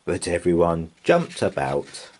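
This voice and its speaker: A man reading a children's picture book aloud in a gentle, lively, sing-song way. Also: low-pitched, slow, slight background noise. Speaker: storybook reader.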